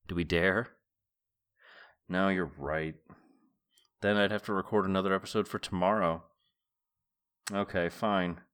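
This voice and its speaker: A man's voice: on the low side, a bit bright. A middle-aged man hosting a podcast, reading a book aloud and commenting on it in an informal, musing way. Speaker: podcast host. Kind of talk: book read-aloud and casual solo commentary.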